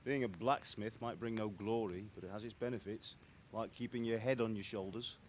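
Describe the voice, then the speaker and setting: An actor playing a middle-aged village blacksmith, a stern and cautious father with a measured, plain-spoken manner in a game cutscene. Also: lowish voice, fairly even intonation, a little hiss or hum, close to an everyday voice. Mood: weary, cautionary. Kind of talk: dramatic game cutscene acting.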